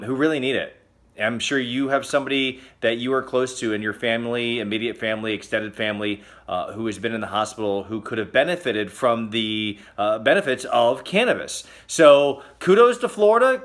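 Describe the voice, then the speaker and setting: A man hosting a casual comedic news roundup, chatty and joking, with sudden loud exclamations. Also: mid-range voice. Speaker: host.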